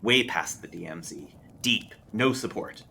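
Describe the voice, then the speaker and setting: A man's voice: low-pitched, expressive, a little slow, gravelly, bright, forceful tone, slight background noise, exaggerated voice. A man voicing a haunted, defensive male war veteran, telling his memory with clipped intensity in a horror story. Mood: grim. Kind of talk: audiobook-style character voice in a horror story.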